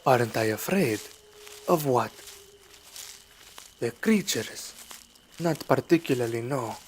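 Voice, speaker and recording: A suave vampire played by a man speaks in a mid-range male voice at a slow, deliberate pace. He is formal and courteous, with quiet menace beneath the politeness. It is an ASMR roleplay with soft forest ambience behind the voice. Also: mid-range voice, animated, slight background noise. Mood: politely evasive. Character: suave, sinister male vampire luring a traveler. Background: night forest ambience.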